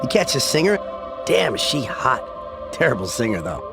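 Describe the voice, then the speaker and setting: An actor playing a chatty, leering party worker in a video game, casual and slangy. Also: male voice, mid-range voice, very animated, normal speed, vocal fry, music in the background. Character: chatty, leering, gossipy male party staffer. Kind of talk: video game cutscene acting.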